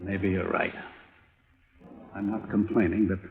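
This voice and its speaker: A pushy rich suspect, tinny. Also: male voice, steady tone, a little slow. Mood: pleading. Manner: low, bargaining, offers money. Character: rich, pushy murder suspect, middle-aged man. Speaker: murder suspect. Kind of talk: 1950s radio drama acting. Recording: old lo-fi broadcast, phone-like.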